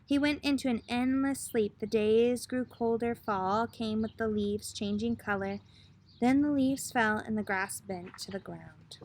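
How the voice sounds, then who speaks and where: A woman reading a children's picture book aloud expressively, in the style of a teacher addressing young listeners. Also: a little high. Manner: expressive, pausing to put questions to young listeners. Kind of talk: children's storybook read-aloud.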